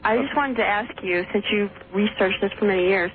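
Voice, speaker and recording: A woman's voice: medium pitch, brisk. Brief: female radio caller, chatty and friendly, heard over a tinny phone line. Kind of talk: call-in question.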